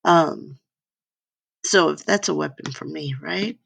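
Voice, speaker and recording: An older woman with a hoarse voice gives an earnest faith-based motivational talk from her slides, rambling and often asking "right?" and "you know?". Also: sits low, slow. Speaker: speaker giving a slide talk.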